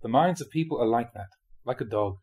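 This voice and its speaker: A male reader delivers a spiritual teaching in an explanatory, measured manner. His mid-pitched voice has a husky grain and moves expressively up and down as he sets out questions and explanations. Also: animated, narrow, tinny audio. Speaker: monk reading dharma talks aloud. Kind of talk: reading a spiritual talk aloud.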